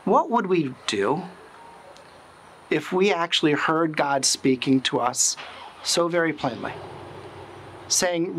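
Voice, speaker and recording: A man's voice: a little high, a bit bright, a little hiss or hum. A middle-aged man, a priest, giving an earnest, reflective sermon outdoors on a sunny lawn. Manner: slow, earnest, reflective preaching.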